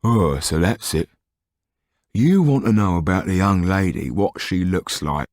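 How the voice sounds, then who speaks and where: A man voicing a big, sweaty, greedy young snoop with a gruff, knowing manner. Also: low-pitched, expressive, measured pace, a little mellow, dry recording, clean studio sound. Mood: gossipy.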